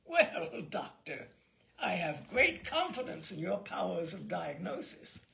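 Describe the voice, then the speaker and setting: A woman's voice: fairly low pitch, very animated, takes it slowly, vocal fry, a bit bright, voice pushed down, exaggerated voice. A woman voicing a bluff, fat old ship's captain who declaims and cajoles emphatically in a storybook reading. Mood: dry, knowing.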